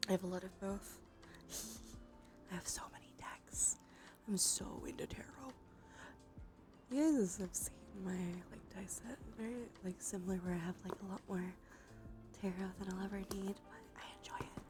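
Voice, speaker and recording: A woman hosts a cozy ASMR stream in a soft voice that often slips into a whisper. Her pitch sits in a middle range. Clear music plays behind her. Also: fairly even intonation, normal speed, pauses often.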